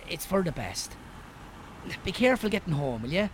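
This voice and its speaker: Firm Irishman, lively higher voice. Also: moderate pace, slight background noise. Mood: firm, regretful. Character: firm but concerned man turning someone away. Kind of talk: naturalistic radio drama acting.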